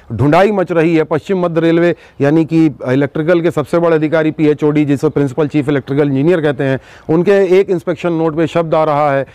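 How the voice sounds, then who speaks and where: Man, fairly high voice, news exposé host, emphatic delivery, rhetorical questions, dramatic pauses, very dry sound. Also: darkish tone, no echo. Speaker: host of an investigative news show. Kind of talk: news exposé monologue to viewers.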